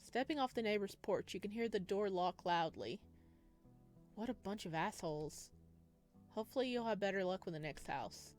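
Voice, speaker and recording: A woman's voice: mid-range voice, animated, moderate pace, a little mellow. Woman reading a game story aloud over music. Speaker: gaming video host. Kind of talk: reading visual novel narration aloud with casual asides. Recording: music playing behind the voice.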